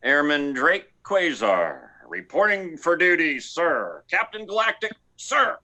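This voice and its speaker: Maverick pilot, space comedy, male actor: cocky and rambling, sharp bright tone, wildly animated pitch, halting repetitions. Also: exaggerated voice. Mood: eager. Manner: rambling, halting, repeats words. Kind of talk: comic radio play acting.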